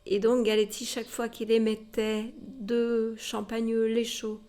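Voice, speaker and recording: A woman's voice: a little high, completely flat delivery, measured pace, dark tone. Female museum guide, informal historical storyteller, explanatory and direct with the listener. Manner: explanatory, addresses listeners directly with rhetorical tags.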